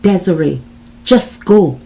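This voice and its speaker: A woman's voice: lowish voice, animated, slow pace, creaky, warm tone, pitched above the performer's own voice, full character voice. A woman voicing a desperate husband who hollers urgent warnings in a dramatic story reading. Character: desperate husband shouting warnings over the phone.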